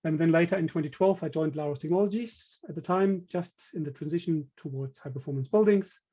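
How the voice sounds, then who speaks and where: A man's voice: on the high side, a little fast, dark tone, husky, highs cut off. A man with a German accent giving a technical webinar presentation, speaking hesitantly with many fillers and restarts. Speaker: company director presenting.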